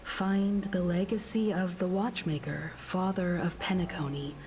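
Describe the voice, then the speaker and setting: Composed, unhurried female traveller. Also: fairly low pitch, steady tone, darkish tone, a little hiss or hum. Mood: composed, probing. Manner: composed, deliberate, analytical. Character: composed, perceptive adult woman travelling companion. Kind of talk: video game story voice acting. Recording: prominent game music behind.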